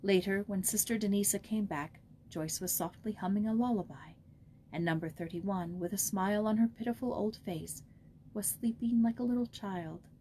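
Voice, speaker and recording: A woman narrates a storybook in a mellow, mid-pitched voice. She reads from a script as an audiobook narrator, with some background noise on the recording. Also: moderate pace.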